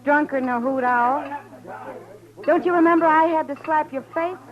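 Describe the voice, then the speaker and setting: A woman's voice: high-pitched, normal speed, close to an everyday voice. A woman playing a proud, guarded frontier saloon woman with a wry manner, in 1950s radio western style. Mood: cool, cutting. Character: proud, wounded saloon woman dressed up for society. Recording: muffled old radio, crowd behind.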